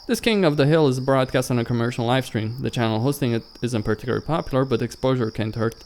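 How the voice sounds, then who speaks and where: A man's voice: medium pitch, quick pace, darkish tone, slight background noise. A man hosting a let's play, reading a visual novel script aloud and stumbling over some words. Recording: music behind the voice.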